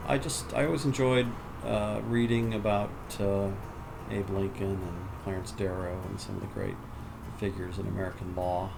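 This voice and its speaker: A middle-aged man speaks reflectively, pausing often. His voice is low and gravelly, and the air conditioning hums loudly behind him. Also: fairly even intonation, background noise. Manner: reflective, many "uh" pauses. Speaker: lawyer. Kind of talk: documentary interview.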